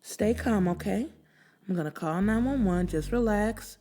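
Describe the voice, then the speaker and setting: A woman's voice: mid-range voice, a little slow, mellow, lightly acted. A woman voicing a concerned woman neighbor in an audiobook, urgent, caring and steadying. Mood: urgent reassurance.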